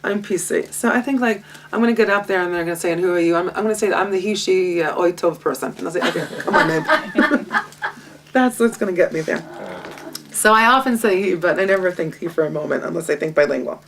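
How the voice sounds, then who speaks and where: A woman, a Hebrew speaker, teaching a Torah lesson with a lively, self-mocking manner and frequent laughter. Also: lowish voice, fairly quick, vocal fry, slight background noise. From Israel. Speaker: guest teacher. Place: home library. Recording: distant mic.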